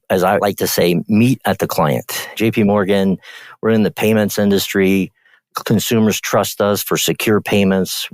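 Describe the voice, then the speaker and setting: A man speaking as a podcast guest, in a relaxed and businesslike way. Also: low-pitched, no echo. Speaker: podcast guest, payments executive. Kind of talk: podcast interview.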